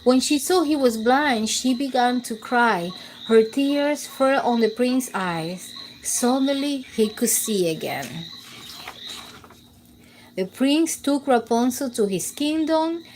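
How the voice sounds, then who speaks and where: A woman reads a bedtime story aloud for children, slow and unhurried, with a gentle, clearly expressive delivery. Her voice sits a little high, and a faint background hiss runs under the recording. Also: rough recording.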